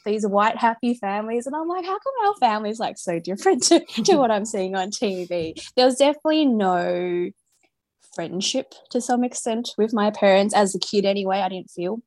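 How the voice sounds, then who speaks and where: A woman from Australia with an Australian accent, a podcast guest talking openly about her upbringing in a relaxed way. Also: mid-range voice, moderate pace, no echo. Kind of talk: casual interview.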